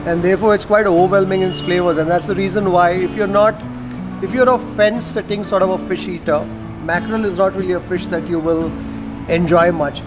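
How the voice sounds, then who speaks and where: A middle-aged man with an Indian English accent talks through each dish he tastes, his voice sitting high for a man. He savors and explains flavors in an easy, descriptive way. Music plays clearly behind him. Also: steady tone, fairly quick. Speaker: food vlogger host. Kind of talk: casual food-tasting commentary. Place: restaurant dining table, indoors.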